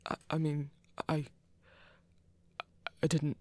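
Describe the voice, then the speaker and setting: A performer playing an awkward, self-doubting werewolf hunter in an ASMR romance roleplay, stammering hesitantly in a husky, gravelly voice. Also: mid-range voice, monotone, slow pace, natural voice. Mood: flustered, shy.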